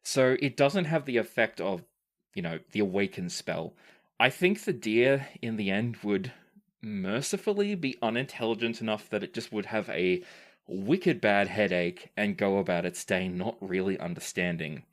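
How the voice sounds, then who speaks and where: A man hosting a podcast as game master, reading and answering listener questions in measured, deliberate phrasing. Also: lowish voice, expressive.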